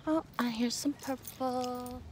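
A woman's voice: on the high side, steady tone, slow pace. A woman musing dreamily and casually to a phone outdoors, swearing freely. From Canada. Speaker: vlogger. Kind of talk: rambling, musing casual chat. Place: outdoors on a lakeside beach. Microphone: handheld phone. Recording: outdoor phone recording with faint noise.

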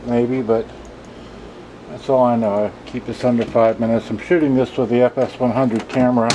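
A man's voice: medium pitch, flat delivery, moderate pace, noisy background. A man muttering in short fragments through a casual, off-the-cuff demonstration, recorded on a camcorder's own microphone. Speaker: vlogger showing vintage electronics. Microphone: handheld camcorder's built-in microphone.

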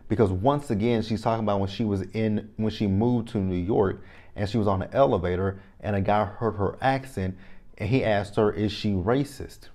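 A man from Texas in his thirties with a Texan accent, giving an animated, opinionated reality TV recap straight to camera on a lapel mic in a small room. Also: on the low side, normal speed. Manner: animated, repeats phrases, talks straight to viewers. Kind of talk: casual opinionated review to camera. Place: small indoor room, plain backdrop.

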